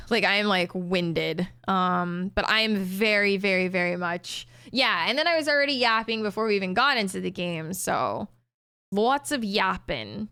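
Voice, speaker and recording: Winded, slightly hoarse woman streamer. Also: medium pitch, animated, a little slow, pushed and bright, no echo, studio quality. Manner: chatty, many ums and repeated words. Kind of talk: casual stream sign-off chat.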